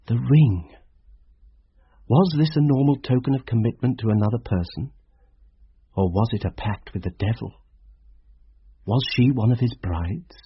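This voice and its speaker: Husky low-voiced troubled schoolteacher narrating, brooding, muffled old radio sound. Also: male voice, dry recording. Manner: brooding, introspective. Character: troubled schoolteacher narrator, introspective, uneasy, protective man. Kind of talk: first-person radio drama narration.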